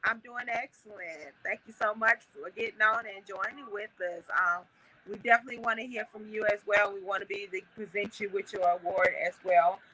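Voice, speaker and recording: A woman's voice: mid-range voice, a little fast, a crowd in the background, rough recording. A woman hosting a casual livestream on her phone, talking in a folksy way with many fillers and restarts. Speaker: host.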